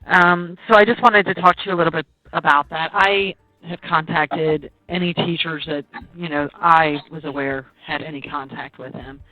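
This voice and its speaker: A woman's voice: low voice, moderate pace, few pauses, no room sound, soft background music. An American woman, a police detective, questioning someone politely and informally over the phone. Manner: polite, conversational. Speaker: police detective interviewing witnesses. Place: on a telephone call. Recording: tinny, narrow phone line.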